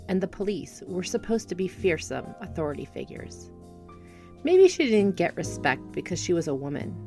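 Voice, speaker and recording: Woman reading a short story, pretty low voice w some gravelly fry, music faintly behind. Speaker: author reading their own short story. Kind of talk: scripted story narration.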